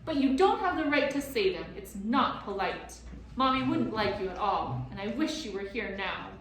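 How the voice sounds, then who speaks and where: A woman's voice: medium pitch, animated, normal speed, distant mic, lightly acted. A woman voicing an upset, indignant little girl in a storybook, scolding sharply. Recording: very echoey room.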